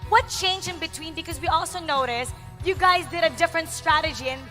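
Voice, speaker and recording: A woman's voice: extremely high pitch, a little fast, bright, forceful tone, soft background music. A woman interviewing esports players after a match, bubbly and playful.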